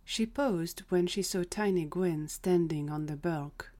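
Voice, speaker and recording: A woman's voice: lowish voice, measured pace, mellow. A woman reading scripted third-person narration for an audio drama.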